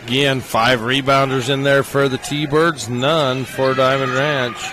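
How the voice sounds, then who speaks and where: Man calling high school basketball play-by-play. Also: level tone, pushed and bright. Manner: rapid name calls, rising on made shots. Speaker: play-by-play announcer. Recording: game broadcast with gym noise. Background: crowd and court noise of the game.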